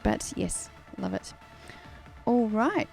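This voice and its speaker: Woman, New Zealand accent with an Australian tinge, gravelly, chatty live-stream host, faint music behind. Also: mid-range voice, animated, slow, darkish tone. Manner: chatty, laughs often, many fillers. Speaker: singer hosting a live stream. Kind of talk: casual live-stream chat.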